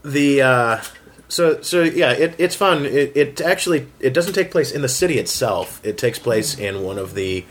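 Male, mid-pitched, casual round-table chatter who hesitates and gropes for words. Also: animated. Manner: halting, filler-heavy, searching for words. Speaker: writer and voice actor on a round-table. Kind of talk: casual group chat.

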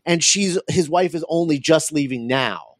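A man's voice: a little high, normal speed, no echo. A man hosting a podcast, talking casually about film and thinking aloud with frequent hesitations and repeats. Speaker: podcast host.

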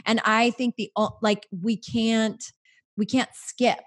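A woman in her forties, a podcast guest, talking earnestly in casual faith-based chat, often in quick bursts. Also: a little high, level tone. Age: 40s.

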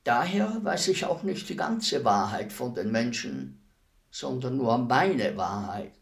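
An actor playing a weary old executioner in a radio play, speaking in a deliberate, ruminative manner with heavy pauses. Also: male voice, mid-range voice, sing-song, vocal fry, full character voice. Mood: humble, pensive. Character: weary old male executioner, fifty years imprisoned, brooding, humble.

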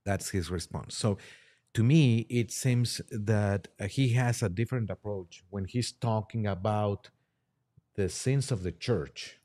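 A man with a fairly low voice speaks as a guest on a talk podcast. He reads passages aloud and adds his own comments, picking his words deliberately, with halting repetition. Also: mellow. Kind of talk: reading quotes aloud and commenting.

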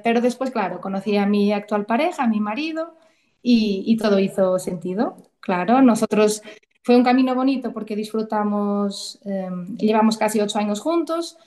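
A woman's voice: medium pitch, mellow. A woman in her thirties, originally from Portugal, speaking. She tells her story in a chatty, emotional way and often restarts her sentences, as a guest in a casual interview.

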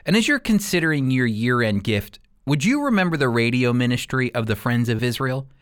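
A man's voice: medium pitch, sing-song, a little fast, smooth. An American man teaching the Bible as a radio host, speaking earnestly and emphatically in a conversational preaching style. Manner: earnest, emphatic, rhetorical questions.

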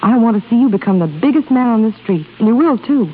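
An actress playing a devoted, discreet young secretary, polite and earnest, in 1930s radio drama style. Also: female voice, fairly high pitch, expressive, quick pace, breathy edge. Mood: tender, earnest. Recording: tinny, noisy 1930s radio recording.

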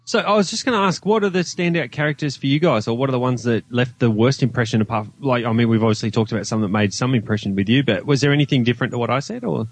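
Australian man with a mid-pitched voice. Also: quick pace, few pauses, no echo. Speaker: guest podcaster. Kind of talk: casual film review discussion.

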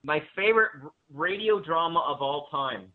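A man's voice: a little high, animated, normal speed. A man speaking as a guest in a casual interview, rambling enthusiastically about his work and interests. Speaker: guest, audio drama writer and director. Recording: narrow-band phone-like line.

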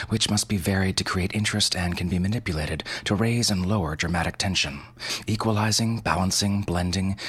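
Male podcast host, low register, casual and irreverent instructional read over prominent music bed. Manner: casual, irreverent, mild swearing. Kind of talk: scripted instructional narration.

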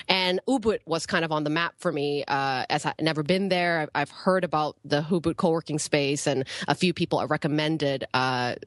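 Guest spot: low-pitched woman, dry close sound, easygoing, talks over a call. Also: fairly bright tone, dry recording. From born in Malaysia, raised in Canada. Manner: chatty, frequent fillers and restarts, laughs easily. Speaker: podcast guest, entrepreneur. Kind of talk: casual interview conversation. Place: home cottage.

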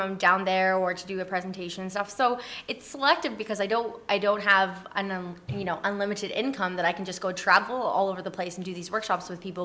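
A woman's voice: medium pitch, fairly bright tone. A woman talking casually as an interview guest, explaining things in a chatty way. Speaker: interview guest, community organizer.

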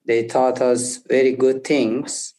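A young man from Afghanistan, not an English speaker, telling his life story haltingly and searching for words. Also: mid-range voice, level tone, warm tone. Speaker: interview guest. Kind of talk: personal testimony.

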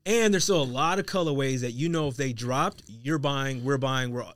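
A man's voice: medium pitch, normal speed, a bit bright, dry recording. A man from France co-hosting a casual podcast discussion, insistent and slangy. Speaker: podcast co-host.

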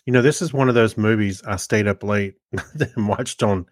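Lower-voiced man in loose casual chat. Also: normal speed, no echo, studio quality. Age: middle-aged. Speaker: podcast co-host. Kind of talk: casual chat about movies.

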